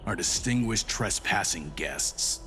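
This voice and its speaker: Zealous imperial warlord, haughty, theatrical, very low voice, game dialogue over music. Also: male voice, animated, takes it slowly, sharp tone, exaggerated voice. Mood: proud, scornful. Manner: pompous, theatrical. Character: zealous, haughty male warlord devoted to his empress. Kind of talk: video game voice acting.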